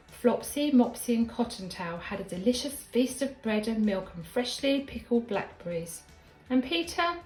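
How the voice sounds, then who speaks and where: A woman, sounding like a schoolteacher, reads a children's story expressively, with deliberate, dramatic emphasis. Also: medium pitch, a little mellow. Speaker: primary school teacher reading a story. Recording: echoey room with background music.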